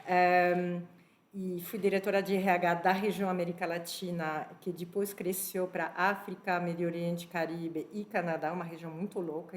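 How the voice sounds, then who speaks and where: French-accented woman, lower voice, roomy. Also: a little hiss or hum. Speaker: panelist, former HR director. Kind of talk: webinar panel talk.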